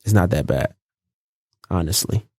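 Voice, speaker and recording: A young man from Los Angeles talks in an unhurried, rambling way, often circling back and restarting his thoughts. His voice sits deep and low. The sound is dry and close, with no room around it. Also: darkish tone, slightly rough, dry recording. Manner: laid-back, rambling, frequent fillers and restarts. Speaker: guest. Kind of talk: casual interview chat.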